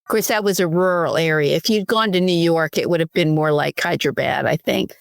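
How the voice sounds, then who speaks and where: A woman's voice: low voice, a little fast, vocal fry, dry recording. Woman host, dry sound. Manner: friendly, rambling, self-correcting. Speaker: podcast host. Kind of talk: casual interview conversation.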